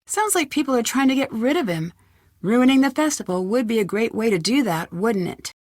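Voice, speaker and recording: A woman's voice: on the high side, lively intonation, fairly quick, darkish tone, no echo, studio quality. An actress playing a polite, inquisitive young woman detective in a video game, with an earnest, probing way of talking. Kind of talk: video game character dialogue.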